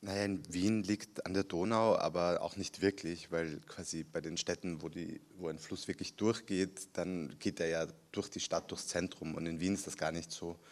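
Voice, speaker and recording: A man in his thirties from Austria with a Viennese accent, an author answering questions at a library reading, relaxed and halting with many fillers. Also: deep voice. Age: 30s. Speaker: author guest. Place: city library event room.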